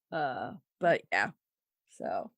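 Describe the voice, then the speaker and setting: A woman's voice: extremely low pitch, a bit bright, dry recording, clean studio sound. A woman hosting a podcast, reading out fandom news in a casual, wry and playful way with chuckles. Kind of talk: casual chat.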